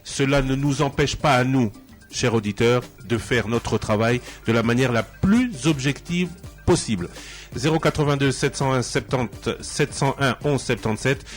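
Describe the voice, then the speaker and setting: A man's voice: medium pitch, normal speed, soft background music. A man with a Congolese accent presenting a radio programme, making announcements to listeners as the host. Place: radio studio.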